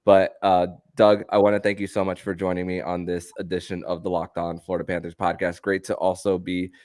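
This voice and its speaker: A man hosting a sports podcast in a conversational way, sometimes halting and stammering as he restarts his phrases. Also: deep voice, little pitch movement, darkish tone, no room sound, studio quality.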